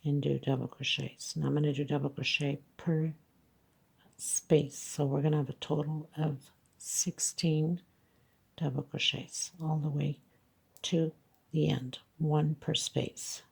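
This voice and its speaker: A woman's voice: low voice, level tone, measured pace, gravelly, husky, poor audio. A woman teaching crochet step by step, counting stitches patiently, her voice congested. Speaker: crochet instructor. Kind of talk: step-by-step craft tutorial.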